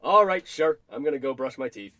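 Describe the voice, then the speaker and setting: A man's voice: mid-range voice, lively intonation, fairly quick, bright, forceful tone. An actor playing a nervous, whiny salesman in a parody old-time radio comedy, anxious and easily exasperated. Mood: worried, uneasy. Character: nervous, jumpy male travelling salesman, whiny sidekick.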